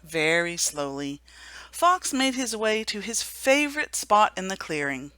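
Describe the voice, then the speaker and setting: A woman reading a children's storybook aloud for a remembrance service, gentle and hushed at the sad moments. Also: mid-range voice, very animated, unhurried, no echo. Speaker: story reader.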